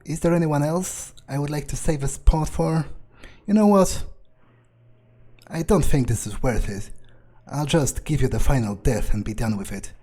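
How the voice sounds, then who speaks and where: A man's voice: a little high, normal speed, dark tone. A man doing in-character game commentary, thinking aloud in a theatrical, self-assured, musing way. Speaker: gamer playing in character.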